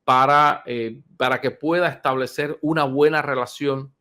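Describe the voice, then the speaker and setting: A man of fifty who works as a doctor explains health matters to his audience in a relaxed, chatty way. He speaks at an ordinary pitch for a man and fills his sentences with pauses and restarts. He is recorded on a single close mic. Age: 50s. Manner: unhurried, many fillers and restarts, conversational. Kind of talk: informal health talk to viewers.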